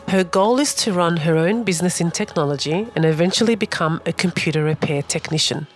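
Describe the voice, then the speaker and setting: A woman, hosting a podcast and asking interview questions in a friendly way. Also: lowish voice. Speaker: podcast host.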